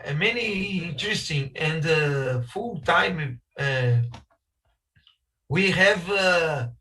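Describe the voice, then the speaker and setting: A man's voice: a little high, lively intonation, takes it slowly, bright, forceful tone, far from the mic, rough recording. A man from Brazil with a Brazilian Portuguese accent, speaking English as a second language on a video call, searching for words with many hesitations and restarts. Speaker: architect guest speaker. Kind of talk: halting webinar talk in a second language.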